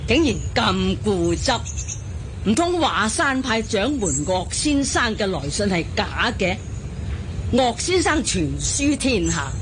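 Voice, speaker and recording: Loud outdoor noise surrounds a stern older nun in a wuxia radio play. She scolds and commands slowly in a Hong Kong accent, her pitch fairly high and full of swings. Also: sing-song. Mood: scolding. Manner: scolding, authoritative, deliberate. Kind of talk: Cantonese wuxia radio drama acting.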